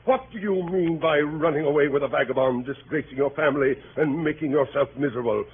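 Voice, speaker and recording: A blustering middle-aged squire, played by a man in an old radio drama, emphatic and rather high in pitch. He comes through a narrow band with light hiss. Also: fast talker, natural voice. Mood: outraged. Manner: emphatic, peremptory. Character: irate, blustering middle-aged country gentleman. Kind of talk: 1930s radio acting. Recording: scratchy phone-like 1930s radio recording.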